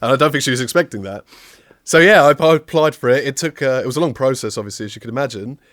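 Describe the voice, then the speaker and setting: A man's voice: mid-range voice, fast, a bit bright, no room sound, clean studio sound. A young man from just outside southwest London, with a southern English accent, chatting casually and upbeat as a guest. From England, outside southwest London. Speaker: guest, technical audio designer. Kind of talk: casual interview chat.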